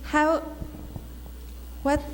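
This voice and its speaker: A woman from Mexico, a native Spanish speaker with a Mexican accent, asking a question from the audience and hunting for her English words. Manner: halting, searching for words. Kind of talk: audience question at a fan convention. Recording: reverberant hall with faint noise.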